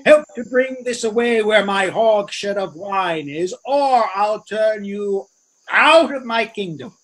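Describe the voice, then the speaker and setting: A man's voice: sits high, animated, takes it slowly, fairly bright tone, exaggerated voice, low-quality recording. An actor playing a drunken, pompous, blustering butler who fancies himself king, in a Shakespearean radio play. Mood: pompous, bossy.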